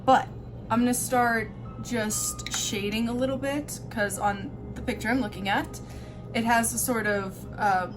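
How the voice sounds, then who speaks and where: Woman doing a chatty makeup tutorial, offhand and self-deprecating, medium pitch, music playing behind her. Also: poor audio. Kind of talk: casual makeup tutorial chat.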